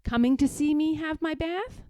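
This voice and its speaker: A woman's voice: high-pitched, normal speed, dark tone, no room sound, studio quality, pitched above the performer's own voice, natural voice. A woman voicing an innocent, inquisitive little boy in a children's storybook, sincere and simple in manner. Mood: curious, eager. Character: curious, innocent little boy who loves his bear.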